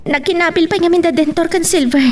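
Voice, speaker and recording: A woman's voice: extremely high pitch, a little fast. A woman acting an apologetic female office employee in an Ilocano radio drama. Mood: anxious, apologetic.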